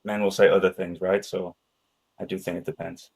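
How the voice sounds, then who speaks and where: A man's voice: sits low, level tone, a little fast, vocal fry, a little mellow, breathy edge. A man whose first language is Danish, a guest talking casually and rambling with fillers like "right?". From Ireland and Denmark. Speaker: podcast guest, sales expert. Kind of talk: casual conversation.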